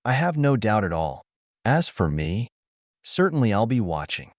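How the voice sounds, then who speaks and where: A man's voice: lowish voice, sing-song, moderate pace, no echo, clean studio sound. A man playing a flippant, wisecracking television producer in an audiobook, with airy, sarcastic banter. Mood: teasing. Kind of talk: audiobook character dialogue.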